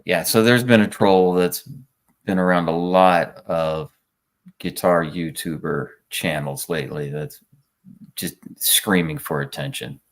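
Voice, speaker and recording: A man with a low voice chats casually as a guest on a show. He takes his time, speaking slowly in brief, dryly delivered remarks. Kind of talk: casual chat.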